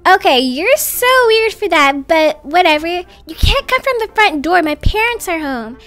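A woman's voice: very high-pitched, expressive, moderate pace, fairly bright tone. A young woman voicing a shy, wary nerdy schoolgirl in a game story, hesitant and slangy. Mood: exasperated. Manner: hesitant, baffled teen slang.

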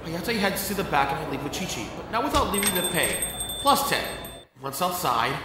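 A man's voice: fairly high pitch, very animated, moderate pace, noisy background. A man playing a cheeky, good-natured young professor in an audio drama, playful and bantering. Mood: narrating. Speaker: voice actor. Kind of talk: audio drama acting with first-person narration.

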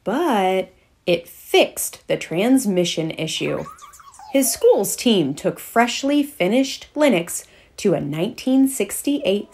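A middle-aged woman narrates a children's picture book. Her pace is slow, with deliberate pauses between phrases. Her medium-pitched voice has a teacherly way of setting out each phrase. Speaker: children's read-aloud host. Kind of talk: storybook read-aloud narration for children.

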